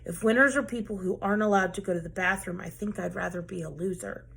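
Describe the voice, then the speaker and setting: A woman teacher reading a children's book aloud in an expressive, lively storytelling manner. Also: lowish voice, fast, gravelly, slightly rough.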